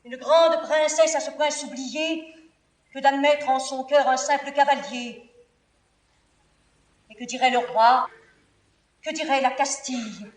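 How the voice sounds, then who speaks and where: An actress playing a devoted, respectful confidante to a princess, declaiming classical French verse tragedy in an earnest, theatrical way. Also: female voice, high-pitched, steady tone, a little slow, long pauses. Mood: shocked, reproving. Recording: roomy, distant theatrical studio recording.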